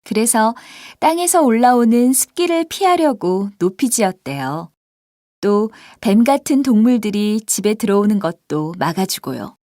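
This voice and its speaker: A woman voicing scripted dialogues and example sentences for a language textbook, with clear, expressive line reading. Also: on the high side, normal speed, no room sound, studio quality. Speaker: textbook audio voice actor.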